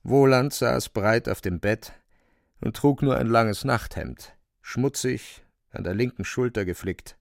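A man narrating a literary radio drama in a measured, descriptive storytelling manner. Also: medium pitch, vocal fry, dry recording, studio quality.

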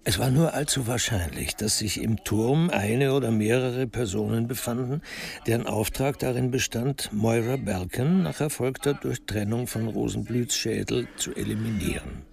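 An actor as the dry, wry narrator of a radio crime drama, telling the story in a measured, literary way. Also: male voice, medium pitch, vocal fry, a little mellow, close to an everyday voice. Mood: matter-of-fact.

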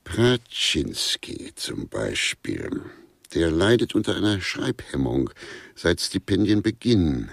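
A wry literary man in a radio drama. His very deep voice is husky and recorded dry and close. He speaks with pedantic, deadpan relish, savouring every list. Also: slow, no room sound, heavily acted. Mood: wry, musing. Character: wry male writer with writer's scruples, gossiping about suspects. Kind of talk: German radio play dialogue.

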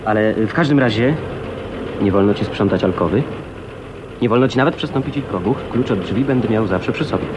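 An actor playing an easygoing, scruffy bachelor bridegroom in a radio drama, jovial and teasing, with hesitant little fillers. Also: male voice, fairly low pitch, fairly quick, close to an everyday voice. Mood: playful. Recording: noisy 1980s radio recording.

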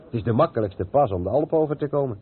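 An actor playing an experienced, patient truck driver who explains things step by step in a radio play. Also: male voice, mid-range voice, animated, racing, close to an everyday voice. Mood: explaining, chatty. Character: experienced, patient long-haul truck driver showing the ropes. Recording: tinny, band-limited old radio recording with noise.

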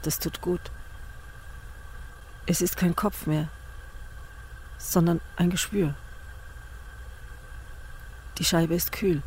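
A woman's voice: on the low side, fairly even intonation, slow pace, long pauses, a little mellow, a little hiss or hum. An actress playing an intense, weary, anguished woman in a literary radio drama. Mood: weary, yearning.

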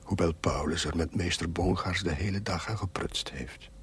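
An actor playing a reflective priest reading his diary in a formal, measured way, with a husky, breathy voice. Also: sits low, full character voice. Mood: wry, solemn. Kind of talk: scripted diary narration in a drama.

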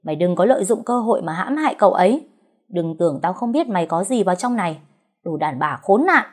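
A bold, unafraid young wife who snaps back at her enemies, as a woman narrator plays her. Her voice stays fairly low and firm. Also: fast, close to an everyday voice. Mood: defiant. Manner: sharp and defiant. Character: fierce, fearless young wife.

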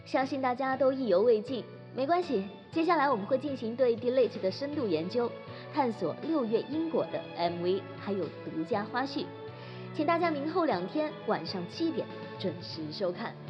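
An upbeat female presenter played by an actress with a fairly high, very animated voice. She delivers scripted television announcements over clear background music. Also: moderate pace, muffled recording, close to an everyday voice. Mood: bright, announcing. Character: upbeat woman presenting an idol group on television. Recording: music playing behind the voice.

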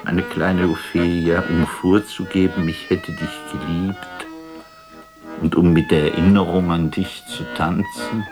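An actor playing a melancholy, lonely poet in a literary radio drama, speaking in a solemn, introspective and theatrical way. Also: male voice, deep voice, flat delivery, a little slow, over music. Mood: yearning.

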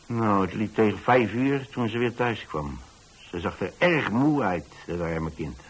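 A man's voice: fairly low pitch, animated, measured pace, slightly rough, low-quality recording. An actor playing a kindly retired landlord, an older man telling a story in a hesitant, folksy, conversational way for radio drama. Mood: chatty, sympathetic. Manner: hesitant, folksy, chatty, with small asides. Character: kindly retired landlord telling about his young lodger.

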